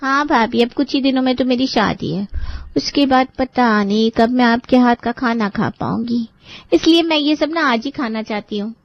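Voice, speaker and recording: A woman's voice: a little high, moderate pace, no room sound, muffled recording, close to an everyday voice. A woman playing a spoiled young bride-to-be in a family drama, pleading and sweetly manipulative with her relatives. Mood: coaxing, cheerful. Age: young adult. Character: wheedling, spoiled young bride-to-be, pampered little sister. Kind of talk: Hindi family drama acting for story video.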